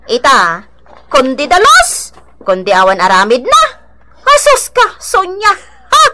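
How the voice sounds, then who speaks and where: A woman's voice: high-pitched, huge pitch swings, measured pace, noisy background, heavily acted. A woman playing a feisty, defiant village gossip with an Ilocano accent in a radio drama, scoffing and exclaiming theatrically. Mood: gleeful, mocking.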